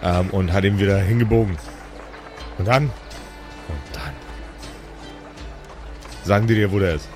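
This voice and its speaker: A man's voice: low-pitched, measured pace, pauses often, music in the background. A man voicing a stout, scruffy, simple-minded and dubious helper in an improvised tabletop audio drama, folksy, repetitive and pleased with himself. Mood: self-satisfied, helpful. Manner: repetitive, folksy, self-satisfied.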